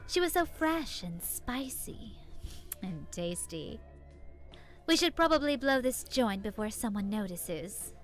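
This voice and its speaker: Sultry, playful party-loving undead seductress, animated. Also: female voice, a little high, slow. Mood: sated. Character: flirty, hedonistic female vampire-like creature who loves partying. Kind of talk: video game voice acting. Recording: music behind the voice.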